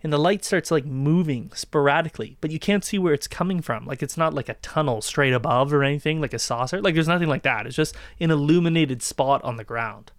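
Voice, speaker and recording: A man's voice: fairly high pitch, a little fast, few pauses, dry recording, studio quality. A man with a Canadian accent telling anecdotes casually as a podcast co-host, informal and repeating himself for emphasis. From southwestern Ontario, Canada. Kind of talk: casual chat, anecdotal storytelling.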